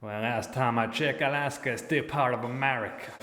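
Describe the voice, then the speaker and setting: A man's voice: mid-range voice, steady tone, a little fast, a bit bright, close to an everyday voice. A man playing a bullying, foul-mouthed American army sergeant who barks profane orders. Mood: gruff. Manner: barking, profane, contemptuous. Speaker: drill sergeant. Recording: echoey room, long reverb.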